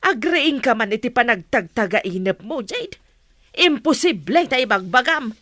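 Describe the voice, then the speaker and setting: Indignant, scolding Filipino mother, bright voice. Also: fairly high pitch, lively intonation, moderate pace, no room sound, clean studio sound. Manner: scolding, exasperated. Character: indignant, disapproving mother of a grown daughter. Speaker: radio drama actor. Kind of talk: Filipino radio serial acting.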